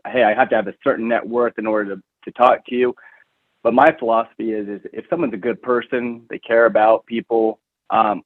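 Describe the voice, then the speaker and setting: A thin, dry, phone-like connection carries a man with a fairly low voice. He is a podcast guest explaining his work as a wealth manager in plain conversational terms. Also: no room sound. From Utah, United States.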